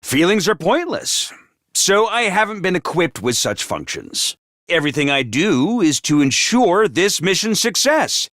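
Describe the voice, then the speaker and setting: A man voicing a cold, scheming AI that mocks feelings as useless, with a flat, dismissive manner, recorded dry in a studio. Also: sits high, measured pace, dry recording, full character voice. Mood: cold mocking. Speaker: game voice actor. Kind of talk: video game voice lines.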